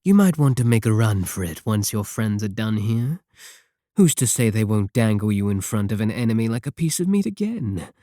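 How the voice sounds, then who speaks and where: Here is a man acting out a villain from an evil organization in an ASMR audio drama. His voice is low and very mellow, recorded dry. He speaks without hurry, condescending and sardonic, with a soft, steady threat. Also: dry recording. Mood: mocking. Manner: unhurried, condescending, softly threatening. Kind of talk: scripted ASMR audio roleplay.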